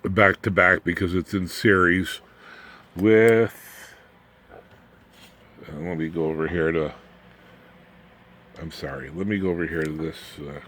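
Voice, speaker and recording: Very deep-voiced man giving folksy technical demo. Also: slow pace, pauses often, a bit bright. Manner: folksy, rambling, plain-spoken. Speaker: electronics hobbyist presenter. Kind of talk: informal hands-on technical demonstration. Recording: clear background noise, room ambience.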